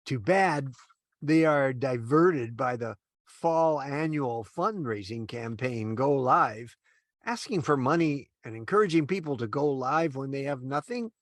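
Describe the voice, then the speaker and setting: Man as naive junior angel, eager, kindly, lively swooping pitch, dry close sound, radio play. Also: measured pace, no echo, lightly acted. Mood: disappointed. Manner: earnest, exclaiming, swooping intonation. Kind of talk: old-time radio play parody.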